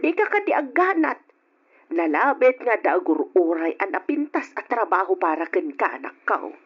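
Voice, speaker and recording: A woman's voice: medium pitch, very animated, moderate pace, husky. An actress playing a caring middle-aged mother in an old radio drama, speaking tenderly and reassuringly. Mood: fond. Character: caring mother of grown children. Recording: dull old radio recording.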